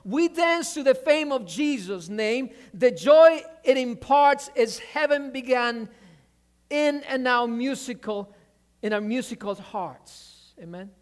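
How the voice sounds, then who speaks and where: A man's voice: very high voice, slow pace. A man whose first language is Spanish, preaching a sermon with energy, often restarting words and asking for an amen. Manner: animated preaching, repeats words, asks amen. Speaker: pastor.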